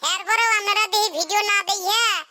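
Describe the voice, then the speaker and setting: An extremely high, pitched-up cartoon voice plays a cheeky young village bachelor. He grumbles and teases in colloquial speech with a northern Bangladeshi accent. The recording is home-made, with clear noise and clicks behind him. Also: fairly even intonation, fast. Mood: teasing. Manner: colloquial, teasing, complaining. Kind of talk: comic cartoon dialogue.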